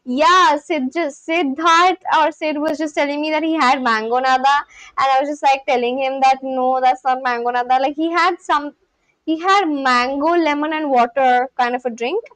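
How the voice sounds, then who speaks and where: A woman's voice: high-pitched, normal speed, fairly bright tone, no echo, low-quality recording. A woman livestreamer chatting casually and practising language phrases aloud, in a chatty way. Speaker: livestream host learning Spanish.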